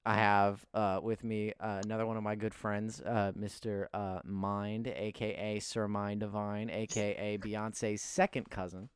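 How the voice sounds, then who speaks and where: Teenage podcast host, lowish and smooth-voiced, talking in a casual, hesitant way over a dry close mic. He stumbles through his questions with constant filler words. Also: flat delivery, unhurried, few pauses, dry recording.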